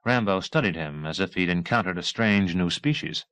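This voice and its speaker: A man's voice: sits low, moderate pace, fairly bright tone, clear, resonant tone, no echo. A man reading a novel aloud as a scripted audiobook narration. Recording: 1980s cassette audiobook.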